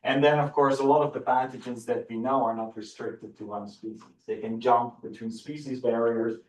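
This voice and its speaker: A man's voice: medium pitch, steady tone, normal speed. A middle-aged man with a Dutch accent gives a university seminar lecture in a room, eager and full of repeated words and restarts. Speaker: biology professor giving a seminar. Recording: echoey room, far from the mic.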